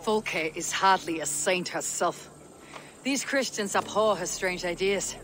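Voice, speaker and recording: Stern high-pitched Viking warrior. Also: male voice, measured pace, fairly bright tone, slight background noise. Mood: dry. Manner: terse, commanding, challenging. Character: blunt, stern, proud male Viking warrior. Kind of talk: video game cutscene acting.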